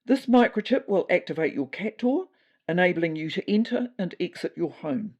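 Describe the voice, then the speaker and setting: A woman as a mellow, earnest official tomcat. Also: fairly low pitch, lively intonation, moderate pace, muffled recording. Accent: New Zealand. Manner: formal, bureaucratic, earnest. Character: earnest, tentative male beige cat, official explainer.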